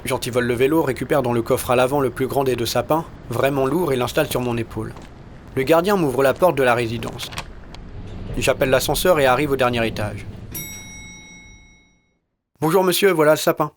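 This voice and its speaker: A man's voice: medium pitch, brisk, slight background noise. Understated man narrating a short story. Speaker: narrator of his own audio short story. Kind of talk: scripted first-person literary narration. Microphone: studio recording.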